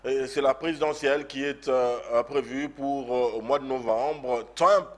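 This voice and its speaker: A man interviewing a guest, asking measured questions with some fillers. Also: mid-range voice, steady tone.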